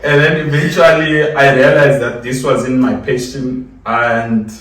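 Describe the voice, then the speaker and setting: A man's voice: mid-range voice, flat delivery, unhurried, fairly bright tone. A man with a South African accent, a podcast guest telling personal stories in a casual, jokey, self-deprecating way. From South Africa, grew up in Giyani. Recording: echoey room, distant mic, faint noise.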